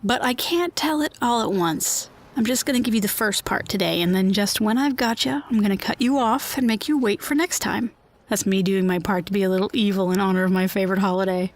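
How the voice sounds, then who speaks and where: A woman's voice: medium pitch, brisk, natural voice. A woman playing a chatty, quirky radio host of a surreal underground city, informal and playful, in a scripted fiction podcast. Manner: informal, playful, given to tangents.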